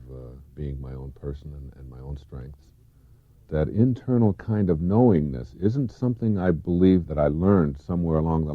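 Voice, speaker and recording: Deep-voiced man, dry muffled tape. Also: no echo. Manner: earnest, swelling and falling in emphasis. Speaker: motivational speaker. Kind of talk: reflective self-help lecture. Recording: dull lo-fi tape, close and dry.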